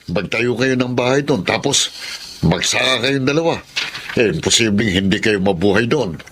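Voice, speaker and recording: An actor playing a kindly, frank middle-aged farmer uncle in a Filipino radio drama, speaking in a folksy, probing way. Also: male voice, mid-range voice, expressive, normal speed, a bit bright, a little hiss or hum. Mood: kindly concern.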